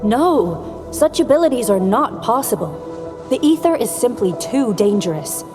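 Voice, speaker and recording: A woman's voice: on the high side, big pitch swings, unhurried, big-room sound, over music. A woman playing a businesslike, authoritative director who speaks formally and matter-of-factly in a video game. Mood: skeptical, grave. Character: serious, businesslike female director, brisk and authoritative. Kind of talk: video game character acting.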